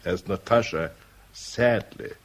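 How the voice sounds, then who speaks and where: A man's voice: low voice, slow pace, a little hiss or hum. An actor playing an urbane, wily older man in a radio drama, speaking in a suave, theatrical and patronising way. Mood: urbane, confiding.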